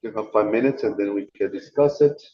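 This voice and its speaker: A man gives an online lecture in a loose, conversational way, calling his students guys. His voice sits low. The call audio is dull and lo-fi. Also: fairly quick, dark tone. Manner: casual, chatty teaching, addresses students as guys. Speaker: guest lecturer.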